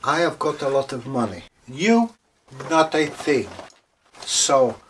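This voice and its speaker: A man's voice: mid-range voice, lively intonation, slow pace, fairly bright tone, distant mic. A man voicing a haughty, scornful female North Wind from a folktale, emphatic and boastful. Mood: scornful, indignant.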